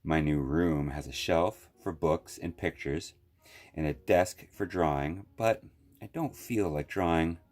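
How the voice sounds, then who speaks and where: Slow, very low-voiced man reading a storybook. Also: darkish tone. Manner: slow, deliberate phrasing with long pauses. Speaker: library storyteller reading aloud. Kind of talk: children's picture book read-aloud.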